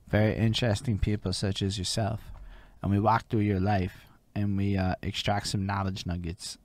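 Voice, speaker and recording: A man hosting a casual interview show, talking in a loose, rambling way full of fillers. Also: low-pitched. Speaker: podcast host.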